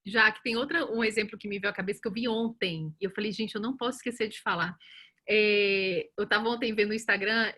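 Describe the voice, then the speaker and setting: A woman in her forties, a communications professional from Brazil, speaking as a panelist on a webinar in a chatty, animated way with many fillers and restarts. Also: mid-range voice, breathy edge. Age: 40s. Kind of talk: casual webinar talk.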